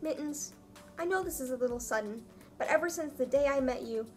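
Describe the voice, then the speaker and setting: A young woman with a high voice reads a story aloud for comic effect. Her delivery is mock-dramatic and self-mocking, dropping into casual asides, with faint music underneath. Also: brisk, darkish tone. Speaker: vlogger reading her own old story aloud.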